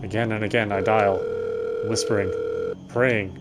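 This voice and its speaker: A man reading a visual novel's text aloud as dramatic narration, solemn and a little halting. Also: on the low side, steady tone, over loud music. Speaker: game player reading story text aloud.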